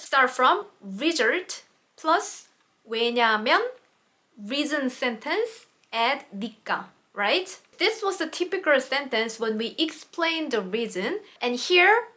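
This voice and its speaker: A young woman, a native Korean speaker, explaining grammar in English in a casual online lesson and repeating Korean example words slowly. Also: high-pitched, pushed and bright. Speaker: Korean language teacher.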